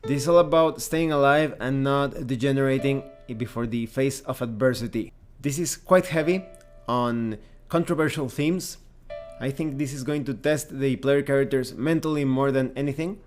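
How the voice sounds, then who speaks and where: A man speaks as a reviewer hosting his own channel. His delivery is measured and explanatory, laying out points in semi-scripted commentary with emphatic wording. His voice sits at a middle pitch. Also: faint music behind. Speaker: tabletop game reviewer and channel host.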